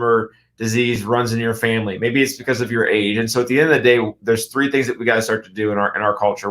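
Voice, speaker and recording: A man's voice: mid-range voice, a bit bright. A middle-aged man, hosting a health radio show as a chiropractor, talking earnestly and emphatically with frequent restarts and rhetorical questions. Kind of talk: health talk radio monologue.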